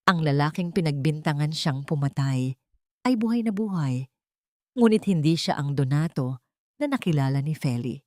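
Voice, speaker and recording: A woman's voice: sits low, animated, normal speed, darkish tone, dry recording, studio quality. A woman narrating a scripted drama story in a dramatic style.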